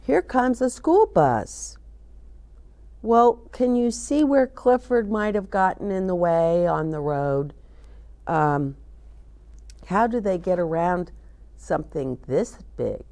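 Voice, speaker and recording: A woman hosting a children's story time, reading aloud and chatting in an animated, sing-song way, often putting questions to her young listeners. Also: fairly low pitch, slow pace, pauses often. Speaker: children's storyteller and show host.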